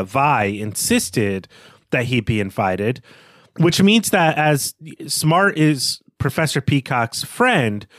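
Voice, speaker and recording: Male co-host, casual book discussion. Thinks aloud in halting phrases, animated intonation, very dry sound. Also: mid-range voice, no room sound. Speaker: podcast co-host.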